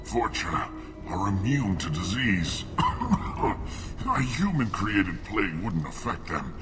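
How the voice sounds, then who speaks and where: An actor playing a bitter, plague-stricken alien in a video game, sick and weak, with grave, formal phrasing. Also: male voice, low-pitched, big pitch swings, slow pace, music in the background, full character voice. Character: dying plague-stricken male alien, bitter, suspicious of humans. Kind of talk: video game dialogue acting.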